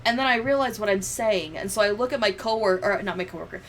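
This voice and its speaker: A woman's voice: medium pitch, fast, a little hiss or hum. A woman livestreamer chatting and telling stories in a casual, sweary, giggly way. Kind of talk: casual livestream chat, storytelling.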